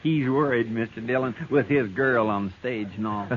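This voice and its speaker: A man's voice: mid-range voice, normal speed, slight background noise, lightly acted. A man playing an eager, deferential, talkative marshal's sidekick in a 1950s radio western, in a folksy manner. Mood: eager, chatty. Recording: dull old radio, crowd behind.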